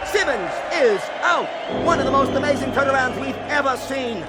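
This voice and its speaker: An actor playing an excited boxing commentator in a radio drama, with punchy, dramatic sportscaster delivery. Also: male voice, very high voice, moderate pace, a loud crowd, very noisy.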